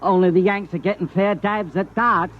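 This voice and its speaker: A muffled old radio recording with slight noise has a man playing a British corporal. He is high-voiced, obliging and apologetic to his officers, in 1950s drama style. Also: steady tone, moderate pace, close to an everyday voice. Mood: deferential. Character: deferential, plucky British army corporal serving as orderly.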